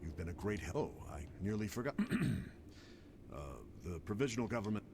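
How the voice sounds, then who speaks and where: A man's voice: on the low side, lively intonation, brisk, close to an everyday voice. A man playing a dutiful, businesslike male explorer in a video game cutscene. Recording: noisy game stream capture.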